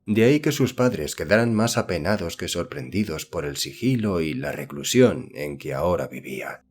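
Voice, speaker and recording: A man reading a horror novel as an audiobook narrator, in a measured, formal storytelling manner. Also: low-pitched, big pitch swings.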